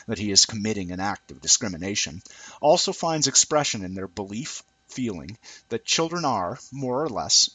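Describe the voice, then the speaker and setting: A man reading a scripted essay aloud in a deliberate, formal way with emphatic phrasing. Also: lowish voice, bright, forceful tone, husky, no room sound, poor audio. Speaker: amateur reader of a written essay.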